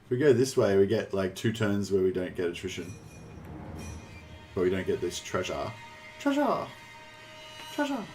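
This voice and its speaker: An Australian man chatting casually on a live game stream, rambling and halting, with some swearing. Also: deep voice, very animated, a little mellow. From New South Wales, Australia. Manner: rambling, halting, foul-mouthed. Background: faint game music.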